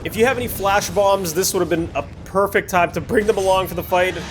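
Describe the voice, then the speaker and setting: Man, fairly high-pitched voice, casual gameplay commentary, apologetic, rambling and backtracking, music behind. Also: brisk. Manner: apologetic, digressive, often backtracking to earlier points. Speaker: gaming video host. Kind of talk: casual gameplay commentary over recorded footage.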